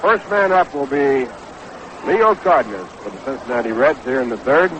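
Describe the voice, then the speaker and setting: A man doing measured, unhurried live baseball play-by-play commentary on a 1960s radio broadcast. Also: mid-range voice, lively intonation, noisy background. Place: stadium broadcast booth.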